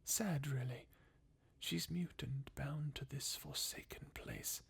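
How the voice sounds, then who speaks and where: A performer voicing a jaded, sardonic undead warrior from a fantasy video game, in a breathy, husky half-whisper with a weary, mocking manner. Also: mid-range voice, slow pace, heavily acted. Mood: bleakly mocking. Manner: sardonic, weary, chuckling bleakly. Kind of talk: fan redub of video game dialogue, ASMR-like.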